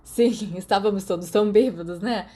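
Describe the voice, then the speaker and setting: A woman with a Brazilian accent voicing a loud, flippant teenage schoolboy in an audiobook, feigning carelessness. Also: medium pitch, moderate pace, close to an everyday voice. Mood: falsely breezy.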